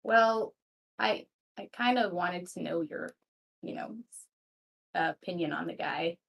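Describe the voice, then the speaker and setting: A woman's voice: on the low side, measured pace, gravelly, a bit bright. A woman playing a tabletop roleplay, chatting casually and a little hesitantly in character. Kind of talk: casual, improvised in-character chat.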